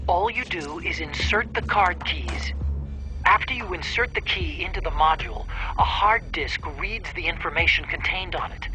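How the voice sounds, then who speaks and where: A man's voice: sits high, sharp tone, full character voice, low-quality recording. A man playing an earnest, eager technician in a video game, patiently explaining things over a radio. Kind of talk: video game cutscene acting.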